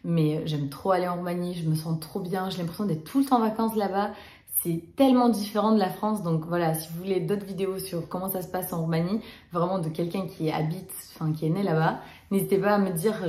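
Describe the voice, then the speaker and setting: A young woman from Romania talks to the camera in a casual storytime vlog, her voice sitting a little low. She chats along with plenty of fillers and restarts, and the small room around her rings with echo. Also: darkish tone. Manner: chatty, many fillers and restarts. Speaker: vlogger.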